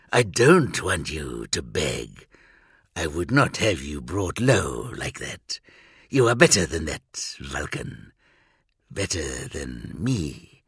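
A man voicing a bitter, fallen warrior villain in an audiobook, husky, sardonic and menacing as he taunts. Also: a bass voice, fairly bright tone, dry recording, clean studio sound, voice pushed down, heavily acted. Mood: cold mockery.

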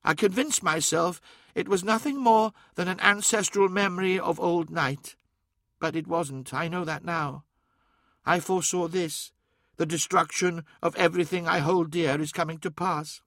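A man's voice: fairly high pitch, moderate pace, a bit bright, no echo, clean studio sound, pitched above the performer's own voice. A man voicing a desperate, pleading sorcerer. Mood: grieving. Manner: urgent, pleading, raised voice. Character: anguished male sorcerer, loyal and fierce. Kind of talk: audiobook character voice.

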